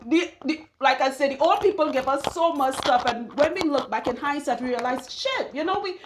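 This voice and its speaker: A woman's voice: high voice, a little fast, noisy background. A woman in her forties from Trinidad with a Trinidadian accent, giving candid, chatty hair care advice that wanders off on tangents. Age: 40s. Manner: candid, chatty, digressive, laughs often. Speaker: loctician and hair care vlogger. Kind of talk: casual advice monologue.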